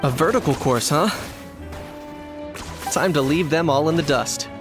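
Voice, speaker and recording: Young male champion for a game, acted by a man: confident, easygoing, slightly high, light music underneath. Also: measured pace, close to an everyday voice. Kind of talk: video game voice acting.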